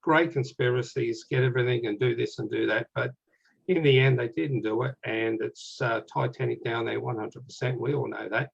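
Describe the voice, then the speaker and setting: An Australian man talks through a slightly dull, lo-fi video call, his voice carrying a gravelly edge. He chats loosely and rambles, stopping now and then to clear his throat. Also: medium pitch, steady tone, moderate pace. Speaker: guest author. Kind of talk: casual conversation.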